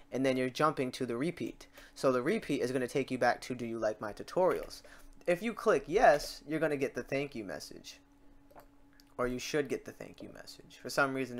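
A man hosting a software tutorial, talking casually and thinking aloud as he works through steps and mistakes. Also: medium pitch. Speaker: tutorial host.

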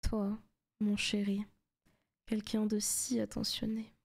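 A woman playing a seductive, possessive demon in a soft, teasing ASMR roleplay, often slipping into whispers. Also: mid-range voice, completely flat delivery, slow, creaky, husky, exaggerated voice. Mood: possessive, sweet. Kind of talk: ASMR roleplay, intimate scripted monologue.

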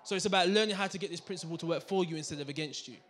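A man's voice: fairly high pitch, very fast. A man, giving a finance talk to a live audience on a handheld mic and checking in with them all the time. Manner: animated, checks in with the crowd with "right? yeah?". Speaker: finance speaker. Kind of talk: lively seminar talk to an audience. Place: community hall with audience. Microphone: handheld microphone.

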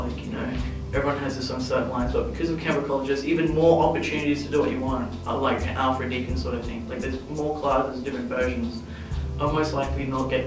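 A man's voice: mid-range voice, recorded from a distance. Teenage boy, rambling, upbeat, roomy echo, background music. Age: teens. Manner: rambling, upbeat, many fillers. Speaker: interviewee. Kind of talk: casual interview.

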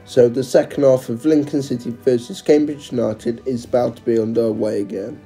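A man gives a steady, matter-of-fact voice-over for his football vlog. His voice is very dark and mellow with a gravelly edge, and faint music runs underneath. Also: medium pitch, moderate pace. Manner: plain, matter-of-fact announcing of match events. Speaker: vlogger. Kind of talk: match recap voice-over.

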